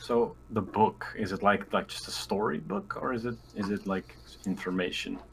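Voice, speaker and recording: A man's voice: lowish voice, expressive, moderate pace, vocal fry, a bit bright, low-quality recording. A man chatting casually as a player in a tabletop roleplaying game, phrasing things hesitantly, with background noise on the recording. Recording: faint background room noise.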